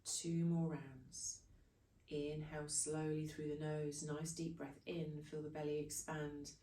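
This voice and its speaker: A woman leading a guided breathing meditation, giving soothing, unhurried instructions in short phrases. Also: low voice, little pitch movement, dark tone, slight background noise. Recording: echoey room, distant from microphone.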